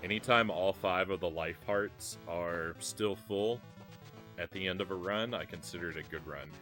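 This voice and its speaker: A man with a low voice talks to his live-stream audience between retro games. He is rambling and self-deprecating, restarting his sentences often. Faint music plays underneath. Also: moderate pace, low-quality recording. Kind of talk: casual live-stream chat while gaming.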